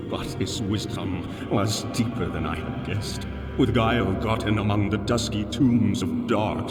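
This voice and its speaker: An actor playing an ancient, enslaved alien god in a fantasy audio drama, solemn and sorrowful, with grandiose archaic phrasing. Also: male voice, lowish voice. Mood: grave, mournful. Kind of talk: full-cast fantasy audio drama monologue. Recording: cavernous echoing reverb, distant, music underneath. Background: music.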